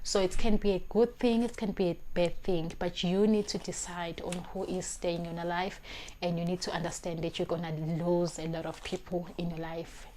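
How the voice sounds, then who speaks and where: A woman's voice: fairly low pitch, level tone, normal speed, mellow, a little hiss or hum. A South African woman in her twenties giving life advice to camera, earnest and emphatic, often repeating words as she searches for the next one, on a lapel mic in a small room. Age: 20s. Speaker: vlogger. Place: small indoor room, plain wall.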